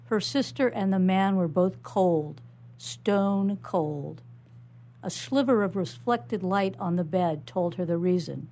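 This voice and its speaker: A woman's voice: on the low side, takes it slowly, dark tone. A woman author reading her own short fiction as scripted story narration.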